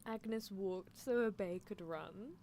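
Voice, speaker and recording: A woman's voice: medium pitch, slow pace, warm tone, a little hiss or hum, pitched below the performer's own voice. A woman voicing an obsessive, teasing mad-scientist girl in a roleplay ASMR, coaxing and clinical with a captive test subject. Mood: smug, nonchalant.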